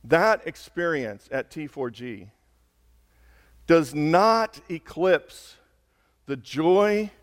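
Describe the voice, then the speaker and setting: A man preaching a sermon as a pastor, hoarse, talking conversationally and building to slow, emphatic peaks. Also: a little high, expressive, long reverb tail. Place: church sanctuary.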